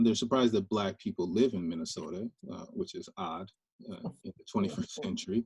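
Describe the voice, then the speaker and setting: A man from Liberia speaking on a panel discussion, thoughtful and halting, with many fillers and restarts. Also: lowish voice, a little mellow, slightly rough, dry recording, low-quality recording. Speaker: filmmaker panelist.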